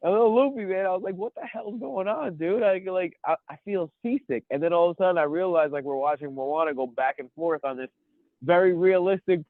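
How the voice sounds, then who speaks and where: A man in his thirties with a cold, chatting as a podcast co-host and rambling excitedly through his stories. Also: sits high, fairly quick, no echo. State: sniffly from a cold. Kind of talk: casual chat. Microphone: phone. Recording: tinny phone line.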